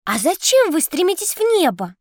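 Lively young schoolgirl for children's audio play: high, bouncy intonation, bossy, dry studio. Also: normal speed, no room sound. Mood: curious. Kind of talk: scripted educational audio drama.

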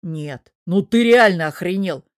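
Woman voicing gruff, teasing tough father. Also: fairly low pitch, measured pace, a little mellow, no echo, studio quality. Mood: mock indignation. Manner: stern but amused, needling.

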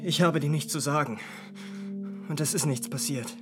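A performer voicing a troubled, defensive young boy with a halting manner in a radio drama. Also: mid-range voice, over music, close to an everyday voice. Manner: halting, stammering when upset.

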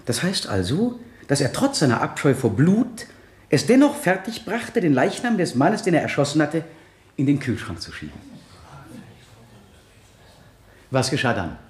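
A man's voice: on the high side, wildly swooping pitch, normal speed. A man playing a relentless prosecutor cross-examining a witness in a 1970s German radio drama. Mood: probing. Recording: roomy radio play studio sound.

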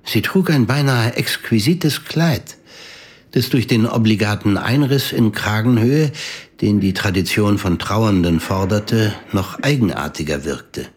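A man's voice: fairly low pitch, expressive, measured pace, slightly rough. A man reading scripted literary narration for an audio drama in a sober, composed way.

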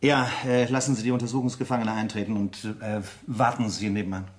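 An actor playing a suave, sardonic French army interrogation officer in a German radio drama, politely ironic with hesitant pauses. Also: male voice, fairly low pitch, little pitch movement, close to an everyday voice. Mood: courteous.

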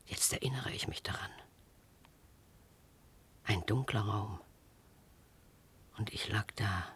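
An actor in a radio drama playing a forgetful, confined character who speaks in a dreamy, halting way. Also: mid-range voice, a bit bright, breathy edge, in a whisper, heavily acted. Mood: hushed, uneasy.

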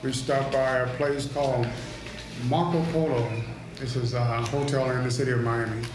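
A man in his fifties, a prison inmate giving an interview, recounting events haltingly with many "you know"s. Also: medium pitch, fairly even intonation. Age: 50s. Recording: roomy, echoey recording.

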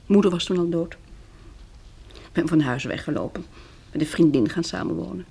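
An actress in a radio drama playing a poised, frank young woman survivor, worldly and self-mocking, in soft, intimate dialogue with a husky, breathy voice. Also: lowish voice, normal speed. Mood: reflective.

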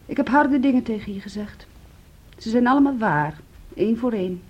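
An actress playing an intense, wounded young woman in a Dutch radio play, hushed and intimate, often slipping toward a whisper, on a muffled old recording. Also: on the high side, brisk, husky. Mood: tender, resigned.

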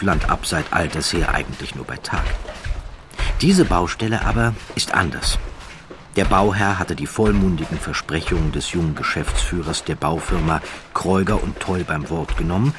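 A man narrating a scripted documentary story in a measured, dramatic way with deliberate pauses. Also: sits low, noisy background.

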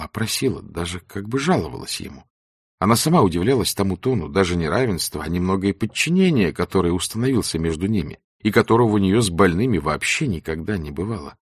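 A man reading a story aloud in expressive literary narration. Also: deep voice, quick pace, breathy edge, no room sound, clean studio sound. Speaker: audiobook narrator.

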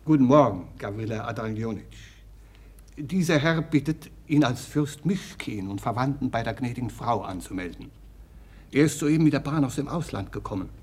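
An actor playing a suspicious but deferential household servant in a radio drama, formal, with a husky voice. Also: male voice, medium pitch, lively intonation, normal speed, darkish tone. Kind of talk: German radio drama acting.

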